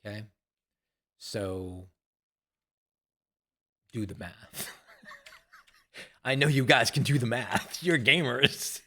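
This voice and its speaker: A man's voice: mid-range voice, animated, drawn-out words, long pauses, bright, forceful tone. A man in his sixties talks to viewers in a loose, rambling monologue, repeating phrases and swearing casually. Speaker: vlogger.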